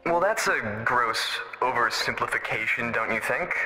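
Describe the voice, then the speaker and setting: Slick, teasing radio DJ, bright and lively delivery. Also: male voice, medium pitch, normal speed, faint music behind, rough recording. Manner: showy, uses old slang. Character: slick showman radio host, smug, teasing. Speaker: radio host character. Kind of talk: audio drama acting as a radio DJ.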